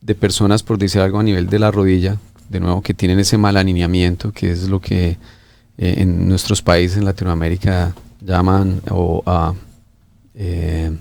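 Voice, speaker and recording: A middle-aged man from Colombia, an orthopedic surgeon explaining treatments in an interview, pausing often with fillers and restarts. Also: low-pitched, little pitch movement, gravelly, a little mellow. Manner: hesitant, many fillers and restarts.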